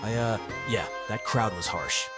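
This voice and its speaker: Cynical teen narrator for a visual novel, played by a man: low-pitched, self-deprecating, sardonic, over clear background music. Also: unhurried, natural voice. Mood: uneasy. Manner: sardonic, muttering asides. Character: cynical, self-deprecating male high school student narrator. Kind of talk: first-person scripted narration, visual novel dub.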